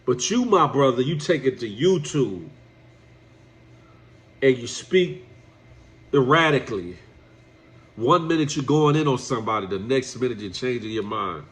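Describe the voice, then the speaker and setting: A man's voice: medium pitch, expressive, unhurried, pauses often. A man with a stuffed-up, nasal voice from allergies, giving a preachy direct-address commentary and calling the person he lectures "my brother.". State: congested from allergies. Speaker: YouTube commentator.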